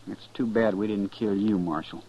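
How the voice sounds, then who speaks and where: An American actor playing a bitter, defiant young cattle rustler, a rancher's son, in an old radio western. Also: male voice, fairly low pitch, steady tone, normal speed, mellow, slightly rough. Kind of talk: 1950s radio western acting. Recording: dull vintage radio recording.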